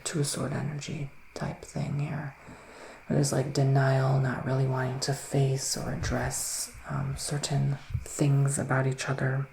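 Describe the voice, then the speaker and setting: A man plays a gentle psychic witch in an ASMR roleplay, his voice heavily creaky and breathy, drifting between soft talk and whispering. He is soothing and unhurried in manner. The room adds noticeable echo, with faint noise underneath. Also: mid-range voice. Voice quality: breathy, often slipping into whisper. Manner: slow, hushed, soothing and reassuring. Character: gentle psychic male witch giving a reading. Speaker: ASMR performer playing a psychic reader. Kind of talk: ASMR roleplay, improvised tarot reading.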